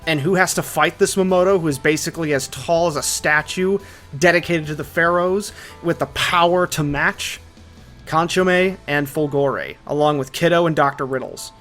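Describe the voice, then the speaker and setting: A man narrates a scripted video essay in a slightly high voice. He is opinionated and drops conversational asides, with faint music underneath. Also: moderate pace, fairly bright tone.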